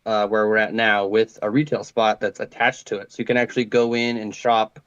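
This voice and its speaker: A man in his mid-thirties, a guest telling relaxed stories about his work and his youth in a modest way. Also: on the low side, fairly quick, clean tone, no room sound, muffled recording. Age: 30s. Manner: modest, relaxed storyteller, says like and dude. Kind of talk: casual interview chat.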